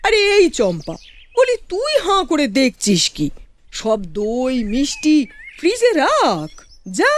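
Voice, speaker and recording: A woman's voice: high voice, huge pitch swings, slow, slight background noise, exaggerated voice. A woman voicing a doting, teasing, bossy middle-aged mother-in-law in a comic cartoon, gushing theatrically. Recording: faint music behind the voice.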